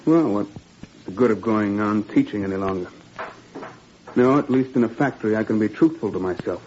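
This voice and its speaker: A man's voice: on the low side, fairly quick, a little mellow, lightly acted. An actor playing a weary, affectionate father, a wistful former professor, in 1940s radio drama style. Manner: wistful, fatherly, ends remarks with 'huh?'. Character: weary, affectionate father, dismissed professor turned factory worker. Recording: muffled, noisy 1940s radio recording.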